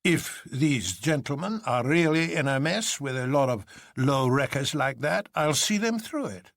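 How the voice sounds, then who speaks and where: A man's voice: medium pitch, animated, unhurried, vocal fry, a bit bright, pitched above the performer's own voice. A man playing a gallant, indignant old colonel in a story, blustering and emphatic. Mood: indignant, resolute.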